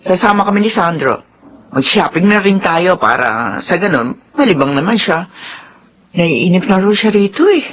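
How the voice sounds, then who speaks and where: A woman's voice: lowish voice, big pitch swings, moderate pace, lo-fi sound. An actress playing a controlling, fretful middle-aged mother in a radio soap opera. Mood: fussing, motherly.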